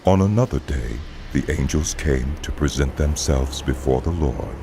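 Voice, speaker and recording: A man's voice: a bass voice, animated, moderate pace, creaky. A man narrating a dramatized audio Bible as a solemn storyteller.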